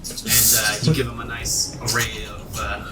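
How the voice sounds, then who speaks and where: A man's voice: mid-range voice, completely flat delivery, moderate pace, noisy background. Guy dming a tabletop game, making it up as he goes, kinda far from the mic in an echoey room. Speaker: game master. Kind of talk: improvised tabletop game narration. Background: music.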